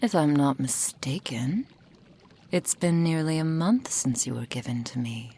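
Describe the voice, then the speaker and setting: Woman voicing a possessive fox-spirit witch, ASMR roleplay, low-pitched, breathy half-whisper, creaky edges, doting yet softly menacing. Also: lively intonation, heavily acted. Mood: adoring, wistful. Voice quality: breathy, often dropping to a whisper. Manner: slow, silky, formal, doting. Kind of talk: intimate ASMR roleplay to the listener.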